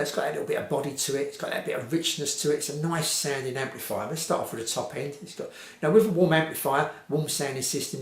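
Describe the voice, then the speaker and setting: A man giving an informal, unscripted hi-fi review in a rambling, repetitive way with lots of hedging. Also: mid-range voice. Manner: rambling, hedged, repetitive phrasing. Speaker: vintage hi-fi reviewer. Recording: roomy, echoey home recording.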